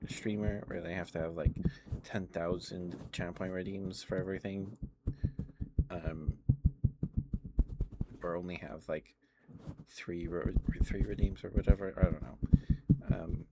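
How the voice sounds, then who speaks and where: Male ASMR streamer, low voice, hesitant and rambling, noticeable background noise. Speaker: ASMR livestreamer. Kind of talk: casual ASMR livestream chat.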